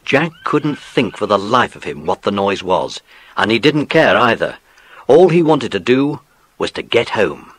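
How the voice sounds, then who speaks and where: A British man narrating a dramatised children's story in an expressive storytelling manner. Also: fairly low pitch, a little slow, slight background noise. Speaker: audiobook narrator.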